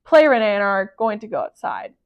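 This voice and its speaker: A woman's voice: mid-range voice, sing-song, quick pace, phone-quality sound, voice pushed down. A woman voicing an eager, bossy young farm girl from a storybook, with an emphatic, demanding manner. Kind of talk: storybook character voice.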